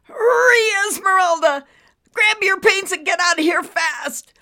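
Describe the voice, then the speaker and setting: A woman voicing a proud but anxious little male storm cloud in a strained, squeaky storybook voice. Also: sing-song, a little slow, sharp tone, exaggerated voice. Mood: urgent, straining.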